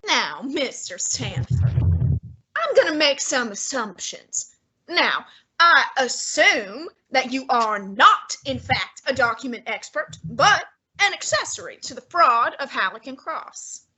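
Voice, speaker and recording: A woman playing a fussy, imperious, heavyset male detective in a student radio drama, speaking in a haughty, deliberate, commanding way with a breathy tone. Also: sits high, sing-song, sharp tone, full character voice, poor audio. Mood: interrogating.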